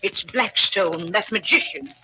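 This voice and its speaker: An actress playing a bitter, scheming old widow in a 1940s radio thriller. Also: female voice, medium pitch, unhurried, sharp tone. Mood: tense, scheming. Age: older. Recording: noisy vintage 1940s radio recording.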